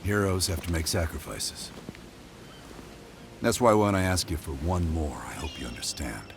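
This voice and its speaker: A man playing a ruthless middle-aged American intelligence officer in a game, speaking deliberately, with intimacy and command. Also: deep voice, gravelly, slight background noise. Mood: grave, persuasive. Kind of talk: dramatic game cutscene acting. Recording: music behind the voice.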